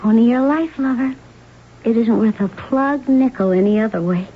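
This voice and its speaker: An actress playing a scheming, possessive, cold-blooded mistress in a 1970s radio drama, coaxing, hard and mocking. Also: female voice, fairly high pitch, moderate pace, lightly acted. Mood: possessive, seductive. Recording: dull, noisy old radio recording.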